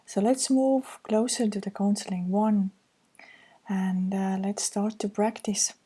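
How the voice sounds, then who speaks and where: A woman with an Estonian accent, a native Estonian speaker, working as an anxiety counselor and reading course slides aloud in a gentle, soft-spoken way. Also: mid-range voice, husky.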